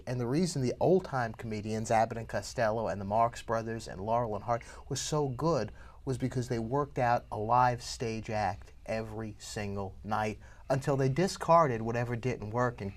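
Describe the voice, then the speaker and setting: Man explaining in medium pitch. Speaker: theatre company member, interviewee. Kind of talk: casual explanatory chat.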